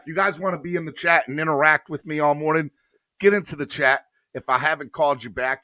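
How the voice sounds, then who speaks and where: A man hosting a live morning sports show, his voice a little hoarse but bright and forward. He talks upbeat and straight to his viewers. The sound is close and dry, with no room around it. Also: medium pitch, no echo. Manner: upbeat, addresses viewers directly. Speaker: podcast host.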